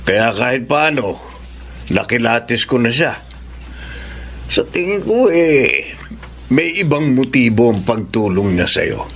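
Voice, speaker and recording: An actor playing a shrewd middle-aged father in a Filipino radio drama, gravelly and insinuating, with a Filipino accent. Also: mid-range voice, very animated, takes it slowly, pauses often, background noise. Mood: sly, knowing. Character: scheming, shrewd middle-aged father prodding his daughter.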